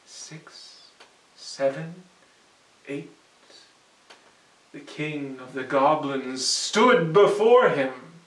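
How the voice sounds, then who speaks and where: A man reading a children's story dramatically, moving between hushed suspense and sudden swells. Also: a little high, very slow, long pauses. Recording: roomy, echoing, distant mic.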